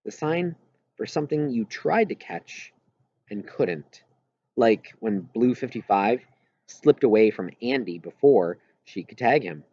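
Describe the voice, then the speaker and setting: Husky guy narrating a novel, pitch moves around a lot, kinda muffled dry recording. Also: expressive, a little slow, warm tone, no echo. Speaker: audiobook reader. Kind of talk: scripted narration of a first-person novel.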